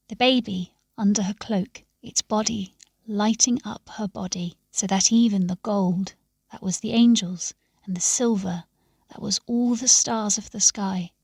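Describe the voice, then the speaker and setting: A woman reading a story aloud in a measured, expressive way, with phrases that often sink into a hush. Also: medium pitch, breathy edge, no room sound, studio quality. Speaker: story reader. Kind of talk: scripted storytelling narration.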